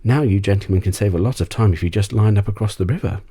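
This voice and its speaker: A man voicing a brave, quick-witted young male adventurer in a storybook, brisk and encouraging. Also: on the low side, warm tone, slightly rough, voice pushed up. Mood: polite, brisk.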